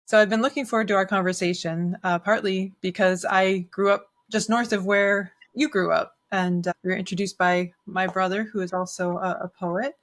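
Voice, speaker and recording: A woman hosting a podcast, reading a polished intro and then asking questions in a measured way. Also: medium pitch, steady tone. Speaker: podcast host. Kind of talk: scripted intro and interview.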